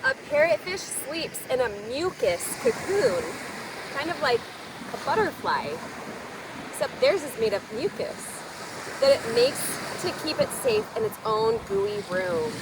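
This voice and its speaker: High-pitched woman reading to children, very animated. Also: measured pace. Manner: expressive, explains words simply to young listeners. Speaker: children's story time host. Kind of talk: read-aloud of a children's picture book with asides. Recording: distant mic, noisy surf-like outdoor ambience.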